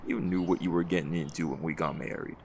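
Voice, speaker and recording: A man playing a seasoned, folksy leader of a hunt in an audio roleplay, gravelly and reassuring, with a fond teasing streak. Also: low-pitched, steady tone, quick pace, dull audio, background noise. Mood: warm, reflective.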